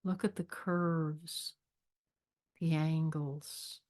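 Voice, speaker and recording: A woman leading a guided meditation with spiritual readings, speaking in a measured, deliberate way with long pauses. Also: low-pitched, fairly even intonation, a little mellow. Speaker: meditation leader, spiritual minister.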